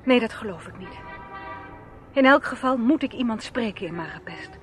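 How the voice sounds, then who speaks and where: A woman's voice: a little high, lively intonation, normal speed, soft background music, close to an everyday voice. An actress playing an anxious, earnest young woman in a radio play, speaking hesitantly. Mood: shaken, earnest. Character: Linda West, anxious young woman seeking her brother. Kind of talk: Dutch radio play acting. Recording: noisy old radio play recording.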